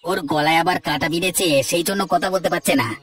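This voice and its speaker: A man's voice: high voice, steady tone, quick pace, far from the mic, over loud music, natural voice. A man voicing an exasperated, sarcastic husband in a Bengali family cartoon, with a dry, chiding manner. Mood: concerned. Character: exasperated, sarcastic husband scolding his greedy wife.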